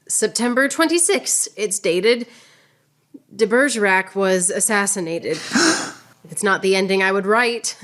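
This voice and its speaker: A woman's voice: medium pitch, a bit bright. A woman playing a proud, witty, wounded swordswoman poet in radio theater, with a lofty, theatrical delivery. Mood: weary, lyrical.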